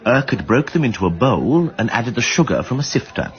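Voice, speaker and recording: An actor playing a well-bred, insistent amateur sleuth in a radio drama, with an upper-class English accent and a plummy, drily witty delivery. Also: male voice, medium pitch, sing-song, fairly quick, highs cut off. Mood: measured recounting. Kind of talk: British radio drama acting.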